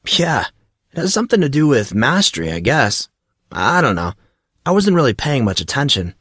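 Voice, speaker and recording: A man acts out a teenage boy for an audiobook, an enthusiastic martial arts student. He talks in loose, slangy bro-talk with lively swings in pitch. The sound is dry and close. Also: moderate pace, slightly rough, no room sound. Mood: casual, evasive. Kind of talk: audiobook character dialogue.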